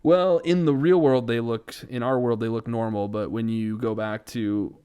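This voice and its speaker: A man's voice: medium pitch, fairly even intonation, normal speed, warm tone, smooth. A man co-hosting a podcast in casual comedic banter, with a sarcastic and amused manner. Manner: sarcastic, amused, chuckling through sentences. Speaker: podcast co-host. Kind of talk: casual comedic banter, reading out a plot synopsis with asides.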